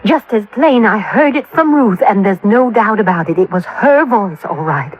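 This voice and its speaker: An actress playing an earnest, excitable middle-aged mother who puts her trust in a medium, in 1950s-style radio drama. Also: medium pitch, moderate pace, lightly acted. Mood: insistent. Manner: earnest, fluttery, insistent. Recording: dull vintage radio recording.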